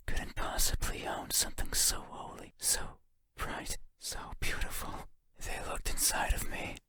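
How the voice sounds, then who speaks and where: A man plays an eerie, faceless being from a horror game, whispering every word. The delivery is breathy, slow and brooding, intimate and halting, with a lonely menace and mournful undertone. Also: lightly acted. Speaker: voice actor.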